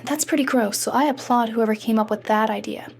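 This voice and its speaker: A wry, bookish teenage girl , played by a woman, narrates a comic dub in a fairly high voice. She is introspective and self-conscious, reading scripted first-person story lines over faint noise. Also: fairly quick, creaky. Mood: wry, morbid. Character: self-conscious bookish fifteen-year-old girl, sardonic student narrator.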